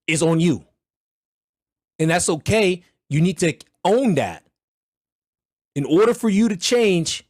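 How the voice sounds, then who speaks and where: A man with a hoarse, recovering voice teaching a live trading mentorship session, talking in a loud, blunt, slangy, motivational way. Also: a little high, dry recording, clean studio sound. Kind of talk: livestreamed mentorship talk.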